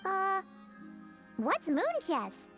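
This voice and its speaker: A woman voicing a tiny, excitable, childlike fairy companion in a video game, squeaky and exclamatory. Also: extremely slow, full character voice. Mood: curious. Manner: refers to herself in third person, exclamatory. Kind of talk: animated video game voice acting. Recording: music behind the voice. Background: game music.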